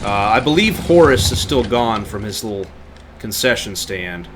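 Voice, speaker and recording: A man's voice: lowish voice, lively intonation, moderate pace, background noise. A man doing casual livestream gameplay commentary, narrating his moves and chatting to viewers. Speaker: game livestreamer.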